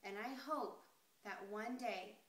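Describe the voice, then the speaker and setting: A woman reading a picture book aloud expressively and deliberately, putting questions to young listeners. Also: medium pitch, a bit bright. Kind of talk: expressive children's story read-aloud. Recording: roomy, echoey sound.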